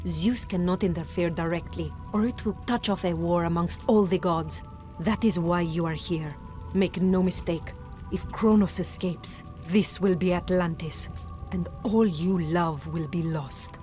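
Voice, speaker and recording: An actress playing a calm, commanding goddess who guides a hero, speaking in a solemn, measured storytelling tone. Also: female voice, on the low side, soft background music, lightly acted. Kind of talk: game cutscene narration.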